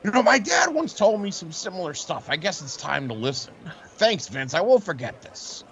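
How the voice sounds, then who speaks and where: Casting: ambitious promoter played by a man, sharp forceful tone, hugely varied pitch, emphatic, faint noise behind. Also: sing-song, moderate pace, lo-fi sound, exaggerated voice. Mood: earnest, resolved. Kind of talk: comedic audio play acting.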